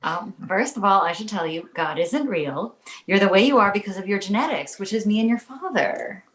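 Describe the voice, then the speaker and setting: A woman playing a doting young mother with a soothing, reasoning manner, in an animated comedy table read. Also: medium pitch, moderate pace, lightly acted. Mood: patient. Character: doting, placating young mother of a baby boy. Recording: echoey room.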